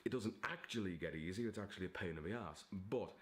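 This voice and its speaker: A man's voice: deep voice, lively intonation, fast. A man talking casually to camera as a vlogger and writer, in a theatrical, giddy, digressive manner. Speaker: vlogger and aspiring novelist.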